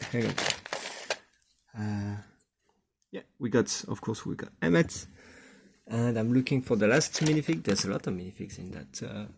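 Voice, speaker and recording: A man giving casual, halting unboxing commentary as a host, murmuring to himself as he handles things, in a husky, gravelly voice. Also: mid-range voice, slight background noise. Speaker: toy unboxing video host.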